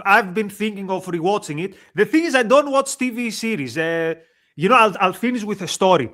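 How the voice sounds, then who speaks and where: Greek guy co-hosting, high voice, casual storytelling, kinda halting and repeats himself. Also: fairly bright tone. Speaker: show co-host.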